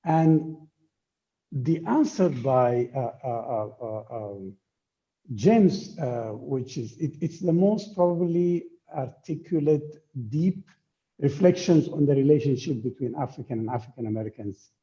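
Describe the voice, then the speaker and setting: Halting man with a very dark, muffled voice. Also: mid-range voice, expressive. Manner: halting, searching for words, repeats himself. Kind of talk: informal, unscripted panel contribution. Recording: dull, muffled online call.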